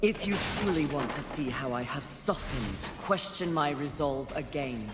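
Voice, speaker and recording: A woman's voice: a bass voice, expressive, measured pace, heavy background noise. A woman playing a fierce, proud, impatient warrior in a video game, speaking in a curt, commanding way. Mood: stern, irritated. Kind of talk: dramatic video game voice acting. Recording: echoey, long reverb, music underneath.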